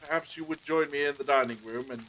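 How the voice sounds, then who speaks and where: A genteel master of the house played by a man in a period audio drama, with a slightly high voice. He is courteous, formal and quick to apologize, over faint background noise. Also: fairly bright tone, close to an everyday voice, low-quality recording. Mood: gracious, formal.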